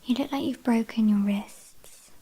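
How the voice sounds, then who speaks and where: A woman's voice: a little high, mellow, slightly rough. A woman with a British accent playing a teasing, haughty vampire kidnapper in an ASMR roleplay, whispering breathily. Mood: teasing, controlling. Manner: teasing, mock-apologetic, unhurried.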